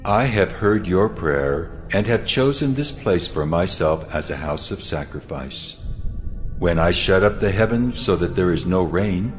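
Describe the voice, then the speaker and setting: A man playing a solemn, majestic God in a dramatized scripture reading, speaking with measured authority. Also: sits low, lively intonation. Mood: solemn, promising. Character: God, solemn majestic male deity speaking promise and warning. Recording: background music under the voice.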